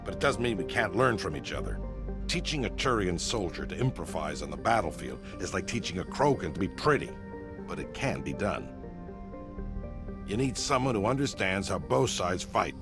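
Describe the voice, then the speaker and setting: An actor playing a gruff, battle-hardened older military commander in a video game, blunt and dry as a mentor. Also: male voice, low voice, sing-song, moderate pace. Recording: game music behind the voice.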